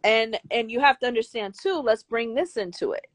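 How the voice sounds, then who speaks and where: An older woman with a high, girlish voice, speaking bluntly and emphatically as an interview guest, swearing freely. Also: a little fast, dry recording. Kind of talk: candid interview talk.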